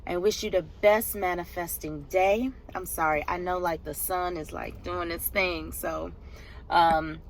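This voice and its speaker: Middle-aged woman vlogger, nasal and hoarse with a cold, slightly low, upbeat chat to camera in a car. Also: steady tone, measured pace, a little hiss or hum. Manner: chatty, upbeat, speaks to viewers as "tribe". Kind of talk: casual vlog chat to camera.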